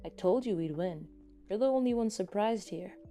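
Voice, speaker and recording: A smug, unflappable teenage boy genius from an audio story, acted by a woman narrator. He talks nonchalantly in a mellow voice. Faint music plays behind. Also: medium pitch, animated, moderate pace, close to an everyday voice.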